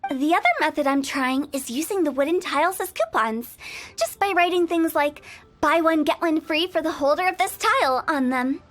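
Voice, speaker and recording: An actress voicing a playful, mischievous young woman who runs a funeral parlor and makes bubbly, persuasive sales pitches. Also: moderate pace, fairly bright tone. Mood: chatty. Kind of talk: video game voice acting.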